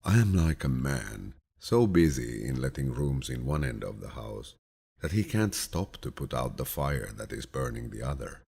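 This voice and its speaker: A man reading an audiobook of quotations slowly in a deep, low, husky voice, with a calm, soothing, grandfatherly manner. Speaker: audiobook narrator. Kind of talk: slow scripted audiobook narration of quotations.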